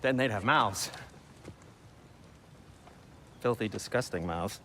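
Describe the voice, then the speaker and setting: An actor playing a squeamish, sardonic dwarf craftsman in a video game, with a fastidious manner and hesitant asides. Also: fairly low pitch, pushed and bright, slight background noise. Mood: fussy, absorbed. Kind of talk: dramatic video game acting.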